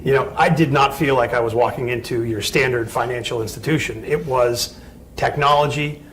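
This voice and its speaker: Co-interviewer, mid-pitched man, keen and chatty, light noise behind. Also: moderate pace, a bit bright. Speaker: agency owner co-interviewer. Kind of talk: casual interview chat.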